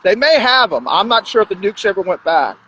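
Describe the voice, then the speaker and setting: A man's voice: high voice, big pitch swings, a little fast, bright, forceful tone, breathy edge. A man talking in a blunt, crude, rambling monologue as a podcast host. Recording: dull lo-fi sound.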